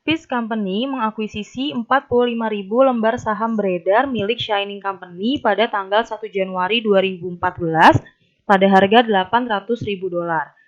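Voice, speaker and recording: A woman's voice: medium pitch, moderate pace. A young adult woman giving methodical, step-by-step instructional narration as a tutor. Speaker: accounting tutor. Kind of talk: instructional narration of a worked problem. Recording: dull, lo-fi voice recording.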